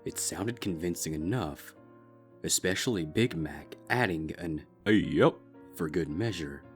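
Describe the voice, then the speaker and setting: Deep-voiced male story narrator. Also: measured pace, soft background music. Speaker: fanfic reader. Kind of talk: scripted narration.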